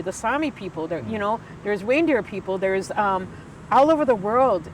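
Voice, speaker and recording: A woman's voice: on the high side, noisy background. A woman in her sixties, an elder talking earnestly and from the heart, with many fillers and restarts. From United States. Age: 60s. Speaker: Ojibwe elder and guest. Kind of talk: conversational storytelling.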